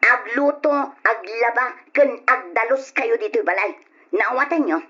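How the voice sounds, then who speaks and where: An actress with an Ilocano accent playing a bossy, stingy aunt with a sharp, commanding manner in a radio drama. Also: female voice, on the high side, unhurried, slightly rough, heavily acted. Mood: bossy, scolding. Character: bossy, stingy aunt scolding her young wards. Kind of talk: Ilocano old-time radio drama acting. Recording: dull lo-fi old radio drama recording.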